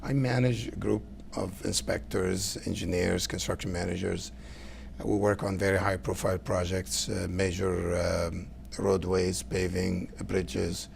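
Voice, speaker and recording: A middle-aged man from Lebanon, speaking English, answering interview questions earnestly and haltingly, with many fillers. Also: sits low, level tone, gravelly, a little mellow, slight background noise. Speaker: guest, council candidate.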